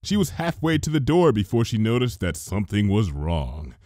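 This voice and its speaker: Guy narrating fanfic, really lively range, dry sound. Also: on the low side, moderate pace, no room sound. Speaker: fanfiction reader. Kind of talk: dramatic scripted story narration.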